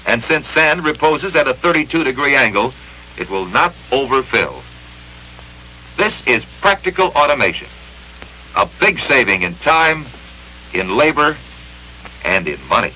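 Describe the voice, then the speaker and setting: A man narrating a 1960s industrial training film in an emphatic, clearly enunciated instructional manner, from an old film soundtrack. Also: medium pitch, big pitch swings, measured pace, long pauses, bright, forceful tone, slight background noise, low-quality recording.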